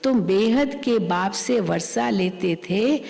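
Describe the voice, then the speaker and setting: Slow-speaking woman teacher in a reverberant hall. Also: medium pitch, vocal fry, darkish tone. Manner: slow, repetitive, explanatory. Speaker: spiritual teacher. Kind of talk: sermon explaining a scripture reading.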